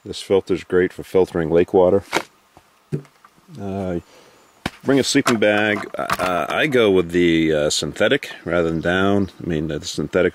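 A man in his forties with a very deep, low voice talks his way through camping gear at a lakeside campsite. He lists items in a relaxed, meandering way, filling gaps with "uh" and "you know". Handheld camera audio with clear outdoor noise behind him. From Maine, US. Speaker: canoe trip vlogger. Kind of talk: casual gear walkthrough.

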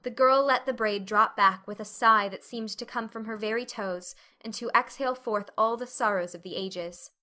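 Bright-voiced woman narrating a novel. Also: on the high side, normal speed, hardly any pauses. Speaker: audiobook narrator. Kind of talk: scripted dramatic narration of a novel.

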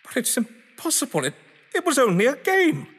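A man's voice: extremely high pitch, huge pitch swings, moderate pace, a bit bright, husky, full character voice. A man acting in an audio drama as a haughty, games-obsessed writer, eloquent, grandiose and sardonic. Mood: rattled, pleading. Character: haughty, theatrical games-obsessed male writer, defensive under suspicion. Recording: long echoing hall reverb.

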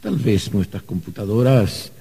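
An actor playing a fussy, flustered archangel bureaucrat in a radio drama, pompous and hesitant. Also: male voice, fairly low pitch, lively intonation, unhurried, warm tone, husky, full character voice. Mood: officious, measured. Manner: hesitant, pompous, hemming and hawing. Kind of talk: Spanish-language radio drama acting.

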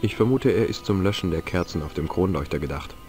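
A man's voice: sits low, expressive, fast, darkish tone. A man playing an easygoing, curious young amateur investigator in an adventure game, conversational and slightly wry. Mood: observing, wry. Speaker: game protagonist and narrator. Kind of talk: adventure game voice acting, German dub. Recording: game music under the voice.

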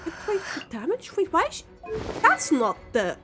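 A woman's voice: extremely high pitch, huge pitch swings, moderate pace. A woman streamer giving casual, exclamatory and emotive reaction commentary while playing a game. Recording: game music behind the voice.

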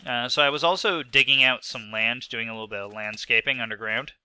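A man's voice: mid-range voice, fairly quick, pushed and bright, clean tone, no echo. Stuffy-nosed man narrating a game. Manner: rambling, self-mocking, lots of fillers. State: sick with a stuffy nose. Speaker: gaming video host. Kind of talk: casual gameplay commentary.

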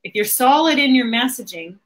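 Woman, thirties, high voice, informal, casual show host, conversational. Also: animated, fairly quick, rough recording. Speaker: host of a marketing livestream. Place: small home office indoors.

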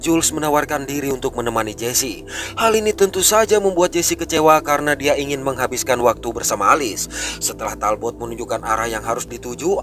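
A man narrating a film recap in a brisk, scripted storytelling way. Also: on the high side, fairly bright tone. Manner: brisk storytelling, rising and falling delivery.

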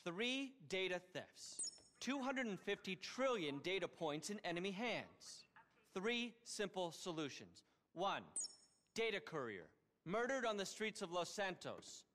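High pitched paranoid tech billionaire, talks like a grand keynote, video game, big reverby room. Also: male voice, very animated, a little slow, a bit bright, heavily acted. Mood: pompous. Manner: pompous, grandiose keynote-style delivery. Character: eccentric egotistical tech billionaire man, manic and paranoid. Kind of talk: video game cutscene acting. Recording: long reverb of a cavernous hall.

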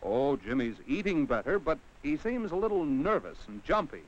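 A man's voice: fairly high pitch, sing-song, normal speed. An American man giving scripted narration in the manner of a 1950s educational film, talking straight to a child with rhetorical questions. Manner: addresses the child on screen directly with rhetorical questions. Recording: dull vintage 1950 film soundtrack.

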